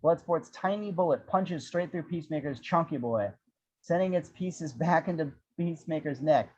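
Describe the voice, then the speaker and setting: A man's voice: on the high side, normal speed, slightly rough. A man narrating action descriptions at a script table read, with irreverent, wry asides. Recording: dull, lo-fi audio.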